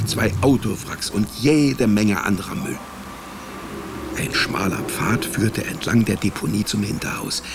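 A man's voice: fairly low pitch, creaky, noisy background. An actor playing a sardonic, wisecracking male private detective, narrating his case in a dry, laconic, ironic way in a radio drama. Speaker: narrator and lead character. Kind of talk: first-person hard-boiled radio drama narration. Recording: studio radio drama with music behind.

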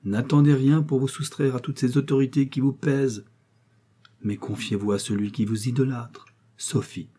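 Brief: a man playing a sentimental young suitor, raised and melodramatic, gushing pleas, dark husky timbre. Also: mid-range voice, steady tone, moderate pace, pitched above the performer's own voice, natural voice. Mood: gushing, pleading. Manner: gushing, theatrical declarations. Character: fawning young male suitor, overly sentimental.

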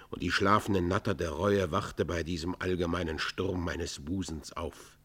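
An actor playing a repentant robber chieftain who confesses in a solemn, declamatory and literary manner in a classic German radio play. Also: male voice, deep voice, a bit bright, slightly rough, exaggerated voice. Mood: tormented.

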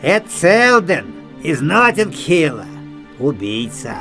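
High-pitched, animated country coachman. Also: slow pace, soft background music, heavily acted. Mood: grave. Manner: folksy, colloquial, animated. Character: country coachman, wary, talkative, gossiping about a convict.

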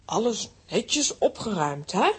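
A woman's voice: mid-range voice, very animated, slow, slightly rough, full character voice. A woman acting a fussy but fond middle-aged aunt in a children's audio drama. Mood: fond teasing.

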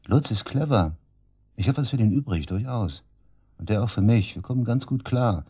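A man's voice: fairly low pitch, animated, moderate pace, dark tone, slightly rough. An actor playing a brash, sarcastic murder suspect under police questioning in a radio drama, crude and defiant in his slangy talk. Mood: scornful, sarcastic. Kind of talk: naturalistic German radio drama acting.

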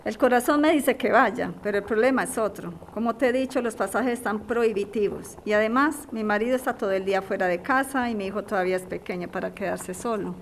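An actress plays a worried migrant mother, torn and polite. Her voice is medium in pitch. She explains herself conversationally, over faint background ambience in an audio drama. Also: fast, hardly any pauses, a little hiss or hum, lightly acted. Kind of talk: podcast radio drama acting, conversational.

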